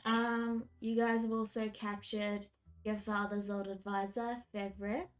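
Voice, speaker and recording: A woman's voice: medium pitch, slow. Congested woman running a tabletop game. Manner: casual, relaxed narration with fillers. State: slightly congested from a cold. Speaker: game master.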